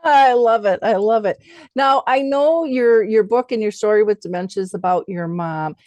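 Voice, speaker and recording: A woman in middle age hosts an interview show in a chatty, affirming way. Her pitch is average for a woman, and the sound is dry, with very little room in it. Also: normal speed, darkish tone, dry recording. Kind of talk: conversational interview.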